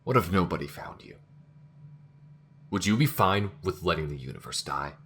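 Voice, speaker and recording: Man as a very deep, gravelly alien veteran. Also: animated, moderate pace, bright, forceful tone, slight background noise, full character voice. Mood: bitter, betrayed. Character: male alien crewmate and war veteran, bitter, fiery. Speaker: voice actor in an audio roleplay. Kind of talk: ASMR-style scripted sci-fi roleplay acting.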